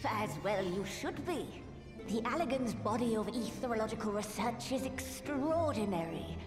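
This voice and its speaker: A woman's voice: on the high side, lively intonation, moderate pace, natural voice. Woman playing a confident scholar in echoing hall. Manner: formal, articulate, assured. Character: confident, precise female scholar in a fantasy world. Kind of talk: scripted video game voice acting. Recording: hall reverb under game music.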